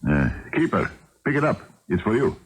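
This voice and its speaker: A man's voice: lowish voice, sing-song, moderate pace, husky. A man acting in a 1970s radio drama as a shifty, nervous traveler who stammers and bluffs with false casualness. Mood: nervous bluffing. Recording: noisy 1979 radio broadcast recording.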